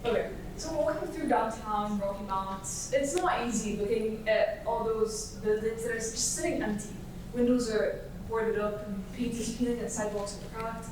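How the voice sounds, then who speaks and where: A young woman with a higher-pitched voice presents as a student speaker. She is recorded from far away in a very reverberant room. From Florida, United States. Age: young adult. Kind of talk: group project presentation. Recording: very echoey room, distant mic, noisy.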